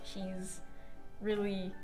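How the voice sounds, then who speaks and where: A woman's voice: mid-range voice, completely flat delivery, extremely slow, warm tone. A young adult woman giving casual interview answers as a playwright. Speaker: student playwright. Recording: faint music behind.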